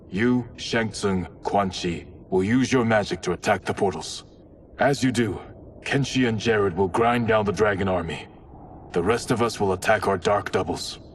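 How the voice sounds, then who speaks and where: An actor playing a stern, distrustful warrior leader in a video game, with a blunt, commanding manner. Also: male voice, low-pitched, recorded from a distance, a little hiss or hum, lightly acted.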